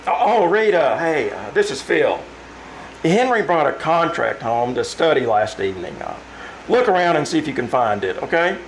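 A man's voice: fairly high pitch, very animated, moderate pace, a little hiss or hum, rough recording. A man playing a concerned, insistent office colleague in an old-time radio drama, emphatic and urgent. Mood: urgent, surprised.

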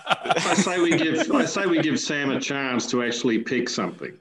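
A man on a podcast panel, rambling and joking with halting restarts. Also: medium pitch, animated, a loud crowd. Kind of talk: casual banter among friends.

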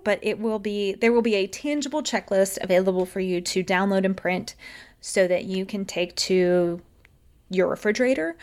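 A woman co-hosting a podcast, talking in a chatty, upbeat way and laughing often. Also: mid-range voice. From United States. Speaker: podcast co-host. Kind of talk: casual podcast chat.

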